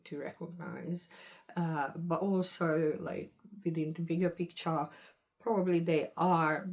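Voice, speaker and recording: A woman's voice: low-pitched, darkish tone. A woman from Hungary, talking in a rambling, hesitant monologue to camera and hedging as she goes. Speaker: vlogger.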